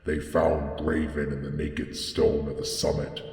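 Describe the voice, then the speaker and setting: A man narrating a gothic horror tale in a solemn, measured, dramatic way. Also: very deep voice, completely flat delivery, darkish tone, faint music behind. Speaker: audiobook narrator. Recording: echoey hall with long reverb.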